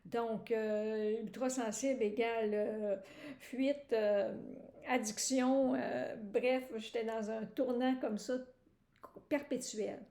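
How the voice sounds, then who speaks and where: Québécoise woman, testimonial, hesitant and filler-heavy, raised pitch, echoey room. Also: fairly even intonation, gravelly, dark tone. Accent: Québécois French. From Quebec, Canada. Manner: halting, many "euh" fillers and restarts. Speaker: program participant giving a testimonial.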